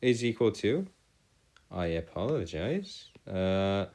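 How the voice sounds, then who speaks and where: Male, math tutor, lowish voice, swooping intonation, formal wording, self-correcting, instructional. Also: very animated, slow pace, a little mellow. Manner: formal, wordy phrasing with frequent self-correction. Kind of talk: video lesson working through calculus problems aloud.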